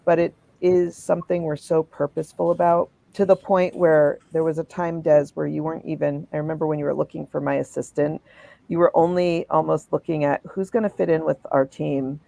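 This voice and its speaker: Low gravelly woman on a live call. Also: fairly even intonation, dark tone, no echo. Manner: chatty, quick, self-deprecating. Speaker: business owner. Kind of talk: casual live stream chat. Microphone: video call.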